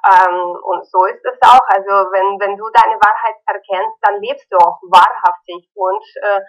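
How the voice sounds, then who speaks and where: A woman's voice: medium pitch, fairly even intonation, measured pace. Russian-accented woman on a muffled line. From born in Kazakhstan, raised in Ukraine. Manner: earnest, many fillers and hesitations. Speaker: spiritual healer and seminar teacher. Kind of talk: interview guest explaining her views.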